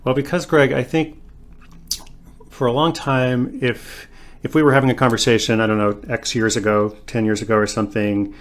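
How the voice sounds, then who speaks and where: A man's voice: medium pitch, level tone, normal speed. A man speaking as an author guest on a podcast, in a thoughtful, casual way, often using "like" and "you know". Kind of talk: conversational interview.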